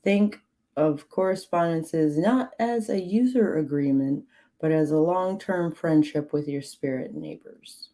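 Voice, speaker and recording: A woman hosting a livestream, reading witchcraft guidance aloud in a measured way, her voice slightly hoarse. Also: low-pitched, warm tone. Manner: slow, measured reading with clipped, dry asides to chat. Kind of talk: reading aloud with chat asides.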